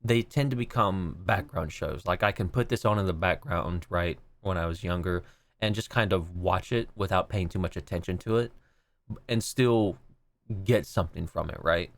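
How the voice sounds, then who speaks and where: A man's voice: low voice, normal speed. A man chatting casually as a podcast co-host, giving his opinions in an easygoing, agreeable way.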